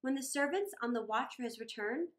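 A middle-aged woman reading a story aloud for children in a theatrical way, with deliberate phrasing and dramatic pauses. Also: on the high side, animated. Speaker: children's storytime reader and host.

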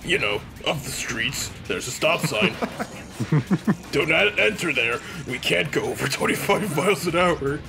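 A man with a fairly high voice chats casually through a video game. He riffs sarcastically and slips into mock dialogue, with game music clearly playing behind him. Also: lively intonation, unhurried. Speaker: let's play commentator. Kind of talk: casual joking game commentary.

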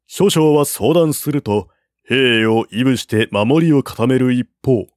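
A man narrating a classical war novel as an audiobook, in a measured, even storytelling manner. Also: medium pitch, no room sound, studio quality.

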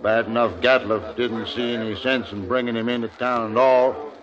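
Man playing blunt buffalo skinner, American, dour, middle pitch, dull vintage radio drama sound. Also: fairly even intonation, moderate pace, close to an everyday voice. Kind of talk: 1950s Western radio acting. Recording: dull old radio recording, outdoor crowd effects.

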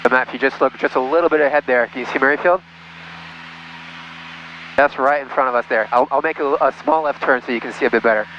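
A man's voice: medium pitch, fast talker. A man in his thirties, a pilot giving casual step-by-step commentary over an aviation headset in a small plane. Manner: explanatory, step by step. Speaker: private pilot. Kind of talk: casual cockpit commentary and radio calls. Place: small light aircraft cockpit in flight. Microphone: aviation headset boom. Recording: muffled aircraft headset audio, engine noise.

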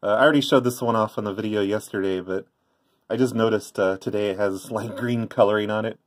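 A man's voice: fairly low pitch, steady tone, fast. A middle-aged man giving an offhand, rambling show-and-tell commentary in a casual way. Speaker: vlogger, former prank call tape trader. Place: indoors by a tape deck.

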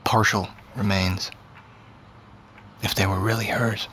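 An actor playing an awkward, guarded teenage deli worker in a naturalistic audio drama, hesitant and terse. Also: male voice, fairly low pitch, flat delivery, slow pace, fairly bright tone, a little hiss or hum. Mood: bitter, guarded. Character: awkward, guarded seventeen-year-old male deli worker.

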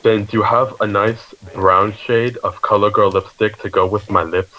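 A hefty girl shopper, played by a man, with a low voice. The delivery is halting and naively earnest, persisting with questions in the manner of a prank phone call. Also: fairly even intonation, lightly acted. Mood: hesitant, earnest.

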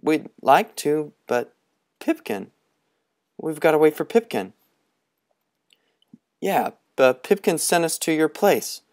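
A man voicing a group of excited, nervous young boys with eager, raised voices. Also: a little high, slow pace, long pauses, voice pushed up.

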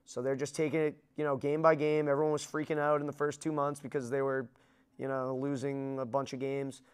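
A man's voice: mid-range voice, little pitch movement, brisk, smooth. A man in his thirties giving blunt, emphatic opinions as a guest on a studio sports show. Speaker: guest commentator. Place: small studio with dark backdrop.

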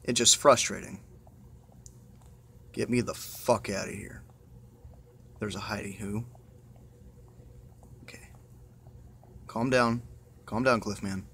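A man's voice: lowish voice, fairly even intonation, takes it slowly, vocal fry, pauses often, a bit bright. A man giving casual, muttered let's-play commentary with exasperation and plenty of swearing. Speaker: gamer playing a horror game. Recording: noisy amateur phone screen recording.

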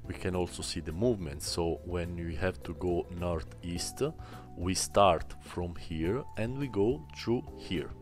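Deep-voiced man with halting speech. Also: soft background music. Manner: halting, many "uh" fillers. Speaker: bot developer demonstrating software. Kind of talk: screen-recorded software tutorial.

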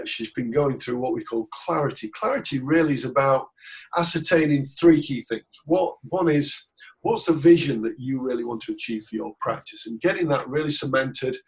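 A man's voice: medium pitch, creaky, poor audio. A man in his forties hosting a webinar interview over a video call from a small office, speaking in a chatty, informal way while getting over a cold. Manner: chatty, loose and informal, with fillers and restarts. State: recovering from a cold. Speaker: webinar host and coach.

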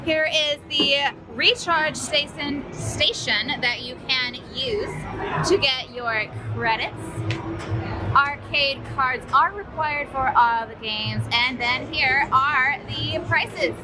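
A woman's voice: sits high, slow pace, over music. A woman in her thirties, a mom vlogging casually as she tours a resort and points things out, filming herself at arm's length. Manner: chatty, upbeat, tour-guide pointing things out. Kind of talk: casual vlog narration. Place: outdoors at a resort and indoor arcade. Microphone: phone or camera held at arm's length.

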